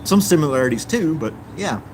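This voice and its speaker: A man's voice: on the high side, animated, a little fast, background noise. A man from the United States hosting a live stream, chatting casually and reading viewer comments in an easygoing, rambling way with many ums.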